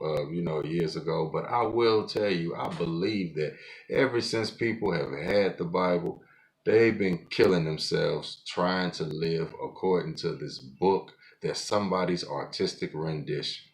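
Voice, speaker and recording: A man in his forties talking alone on his podcast in a blunt, profane, rambling way, often restarting his sentences. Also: sits low. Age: 40s. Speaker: podcast host. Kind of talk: casual monologue.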